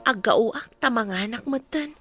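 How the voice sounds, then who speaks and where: A woman's voice: a little high, lively intonation, unhurried, loud music behind the voice. A woman playing a meek, mistreated young woman in a radio drama, plaintive and obedient, with an Ilocano Filipino accent. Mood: gentle, weary.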